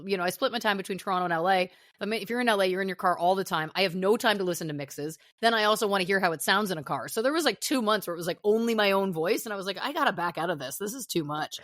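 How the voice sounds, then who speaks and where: A woman's voice: medium pitch, lively intonation, racing, hardly any pauses, a bit bright, dry recording, studio quality. A middle-aged woman chatting as a podcast guest, telling self-deprecating stories in a loose, rambling way. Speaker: podcast guest, actor and musician. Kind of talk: casual interview chat.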